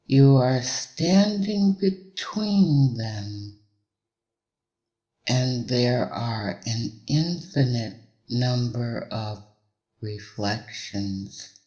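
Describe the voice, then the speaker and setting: A man delivering a hypnotic induction in a measured, deliberate way, with long pauses between short phrases. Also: medium pitch, lively intonation, mellow, lo-fi sound.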